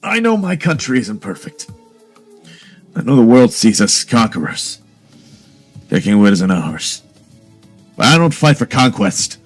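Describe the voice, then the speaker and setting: An actor playing an earnest, defiant American super-soldier hero in an audio drama, talking in a plain-spoken way. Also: male voice, mid-range voice, sing-song, unhurried, long pauses, soft background music. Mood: impassioned defiance.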